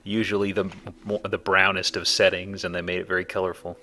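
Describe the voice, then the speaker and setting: A man's voice: deep voice, level tone, brisk, pushed and bright. A man hosting a let's play with casual, folksy, rambling commentary on the game. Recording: commentary over faint game background noise.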